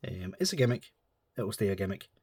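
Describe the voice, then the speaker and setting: A man's voice: low-pitched, very animated, quick pace, a little mellow, husky, dry recording. A man with a stuffy nose from a cold, chatting in a rambling, informal way as he reviews a model kit. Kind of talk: casual chat.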